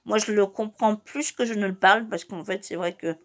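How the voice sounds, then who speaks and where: A woman's voice: mid-range voice, fairly quick. Hesitant woman in her forties. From Madeira, Portugal. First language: Portuguese. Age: 40s. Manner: hesitant, many fillers and restarts. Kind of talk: casual personal monologue.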